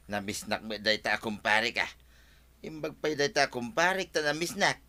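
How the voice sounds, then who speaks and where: A man's voice: medium pitch, fairly even intonation, slow pace, pushed and bright. A man with an Ilocano accent playing a chummy, boastful village husband in a Filipino radio comedy drama. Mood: boastful, pleased.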